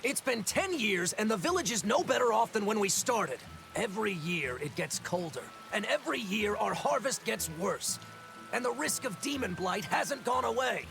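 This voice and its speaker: An impatient young farmer in a fantasy game, high for a man and creaky. He complains with agitation and big swings in intonation. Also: big pitch swings, normal speed, full character voice. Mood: exasperated. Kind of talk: video game voice acting. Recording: music behind.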